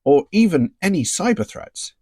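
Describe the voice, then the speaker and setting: A man's voice: high voice, big pitch swings, moderate pace, darkish tone, slightly rough, no room sound, clean studio sound, heavily acted. A man playing an earnest teenage boy computer whiz who leads his team, in a fan audio drama. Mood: serious.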